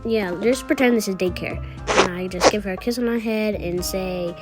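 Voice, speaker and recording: Music plays clearly behind a woman's voice of average pitch. She narrates pretend play for a homemade video in a casual, improvised way. She talks herself through each little action as she does it. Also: moderate pace. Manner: thinks aloud, narrating each small action. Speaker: video creator narrating own toy-app roleplay.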